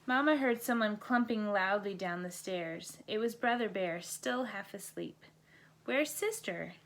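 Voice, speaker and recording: Slow-paced woman reading a bedtime story. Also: mid-range voice. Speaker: storybook reader. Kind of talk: slow read-aloud children's bedtime story.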